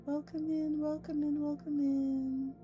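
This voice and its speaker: A woman hosting a livestream, chatting casually with her viewers in a playful way, with chatty asides and drawn-out emphasis. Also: high-pitched, little pitch movement, highs cut off. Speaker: VTuber streamer host. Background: soft ambient music.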